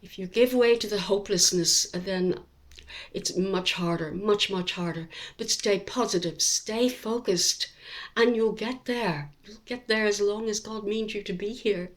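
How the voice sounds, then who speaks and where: A middle-aged woman, speaking in a reflective personal vlog about her illness, earnest and encouraging, pausing often with ums. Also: medium pitch. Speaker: vlogger sharing her cancer journey. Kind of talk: reflective personal monologue to camera.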